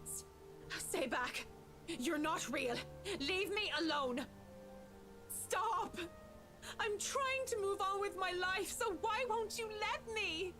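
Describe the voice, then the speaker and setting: A woman's voice: extremely high pitch, slow, pauses often, music in the background. A woman playing a guilt-ridden, anxious young woman in a horror game, with an earnest, fragile, dramatic delivery. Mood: frightened, pleading.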